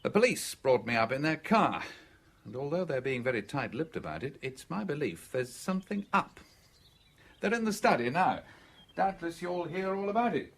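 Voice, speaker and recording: A man playing a breezy, sardonic gentleman visitor with a jaunty, wry manner in a radio drama. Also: on the high side, sing-song, normal speed, exaggerated voice. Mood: wry, brisk.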